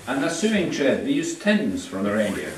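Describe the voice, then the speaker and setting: A man's voice: medium pitch, sing-song, a little fast. A man whose first language is Sami, explaining things to a tour group in careful non-native English. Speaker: tour guide. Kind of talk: guided tour talk to visitors. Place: indoor venue with tour group. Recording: echoey room from far off with faint noise.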